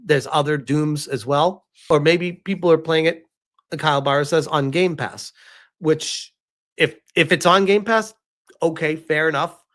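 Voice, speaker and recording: A man's voice: on the high side, a bit bright. Incredulous man doing casual gaming commentary. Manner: incredulous, informal, halting emphatic delivery with rhetorical questions. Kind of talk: casual livestream commentary reacting to gaming news.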